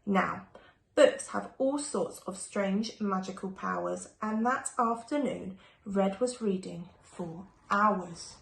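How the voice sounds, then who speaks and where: A woman's voice: mid-range voice, takes it slowly, creaky. A woman reading a rhyming children's storybook aloud expressively, like a primary school teacher. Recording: echoey room, faint background noise.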